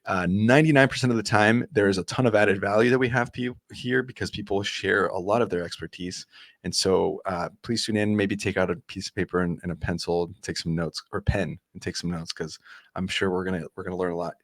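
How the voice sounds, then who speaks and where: A man with a fairly low voice rattles along at a quick clip as he hosts a podcast. He is eager and chatty, and he often restarts his sentences. The sound is dry and close. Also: fairly even intonation, dry recording. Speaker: podcast host. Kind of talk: casual podcast interview.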